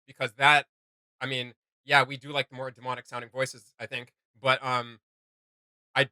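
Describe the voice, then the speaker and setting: Bright, forceful man reacting enthusiastically. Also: medium pitch, flat delivery, normal speed, no echo. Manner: enthusiastic, rambling. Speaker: reaction video host. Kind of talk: casual reaction commentary.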